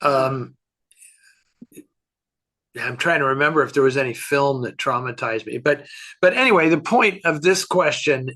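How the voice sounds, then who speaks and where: Bright-voiced man casually talking film. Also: mid-range voice. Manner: halting, thinking aloud, opinionated. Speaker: film expert answering fan questions.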